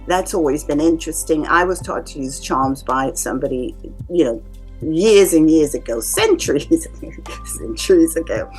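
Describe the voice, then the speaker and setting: A woman speaks in a calm, soothing voice, explaining things step by step like a psychic reader talking to her viewers. Her tone moves a lot, rising and falling as she goes. Faint music plays in the background. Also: low voice, slightly rough, poor audio. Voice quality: calming voice. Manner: calm, measured explaining with lively rises and drops. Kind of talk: casual explanatory talk to camera.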